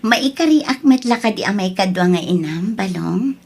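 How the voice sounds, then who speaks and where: A woman playing an affectionate, gentle schoolteacher in an audio drama, tender and coaxing, with a Filipino accent and a husky voice. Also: medium pitch, expressive, normal speed. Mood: motherly.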